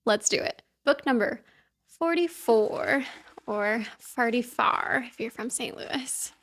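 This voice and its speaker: A woman from talks straight to her viewers in a casual book vlog. Her voice sits a touch on the high side, and her manner is chatty and upbeat, full of little asides. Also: expressive, takes it slowly, a bit bright. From Kansas City, US. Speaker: book vlogger. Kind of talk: casual chat to camera.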